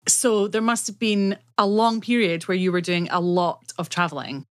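A woman's voice: medium pitch, fairly even intonation, moderate pace. A woman hosting a podcast, asking friendly questions that often end in tags. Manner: friendly, leading questions with tag questions. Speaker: podcast host. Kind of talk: interview questions.

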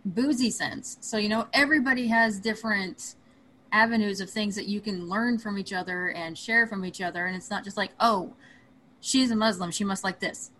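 A woman's voice: medium pitch, moderate pace, a bit bright, low-quality recording. Female talker, casual thoughtful chat, faint background hum. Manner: thoughtful, circles back to her point.

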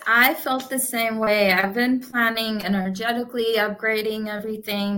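A woman's voice: medium pitch, fairly bright tone. A woman from India, a business coach, speaking as a guest in an interview in an earnest, positive way. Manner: earnest, positive, many fillers. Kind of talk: casual interview.

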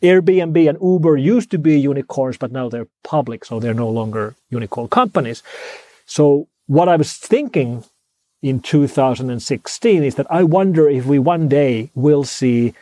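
Interview guest: Finnish-accented man, mellow tone, dry wit, dry close sound. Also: medium pitch, animated, moderate pace, no room sound. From Finland. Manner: dry humor, frequent word repeats. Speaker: guest author. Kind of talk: conversational interview.

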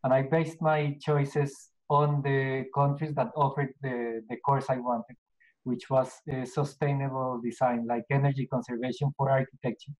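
A middle-aged man from Colombia with a Colombian Spanish accent, answering interview questions in a casual, halting way with many restarts and fillers. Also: mid-range voice, flat delivery, a little mellow, poor audio. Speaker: podcast guest, business owner.

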